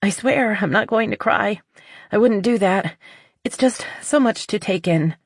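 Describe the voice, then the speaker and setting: An audiobook narrator voicing a nervous, earnest middle-aged woman confessing, with a halting, shaky delivery. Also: medium pitch, creaky, husky, no room sound, studio quality, pitched above the performer's own voice. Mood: nervous, tearful.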